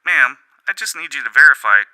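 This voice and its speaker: A male reader puts on a brisk phone collections agent for an audiobook. The voice is very bright and forceful, well above his own pitch, with swooping, scripted courtesy. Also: expressive, no echo, poor audio. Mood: officious, polite. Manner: sing-song customer-service politeness. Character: male collection-agency caller, brisk, scripted, relentlessly polite.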